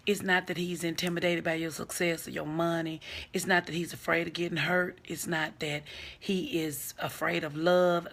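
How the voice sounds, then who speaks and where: A hoarse woman in her forties talking bluntly and sassily to viewers on a phone live stream, giving dating advice. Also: on the low side, sharp tone. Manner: blunt, sassy, talks straight to viewers.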